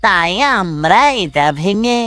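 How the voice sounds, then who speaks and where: A woman's voice: on the low side, expressive, slow pace, a bit bright, dry recording. A woman voicing a sly male thief who poses as a humble mason in a cartoon story, theatrical and wheedling. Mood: nervous excuses. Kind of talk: dramatic animated moral story.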